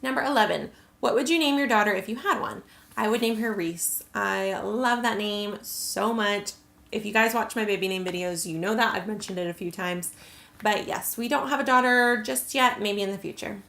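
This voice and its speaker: Young american woman vlogging, chatty with lots of likes and ums, bit of fry, roomy echo. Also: medium pitch, normal speed, fairly bright tone. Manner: chatty, many "like" and "um" fillers. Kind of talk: casual Q&A to camera.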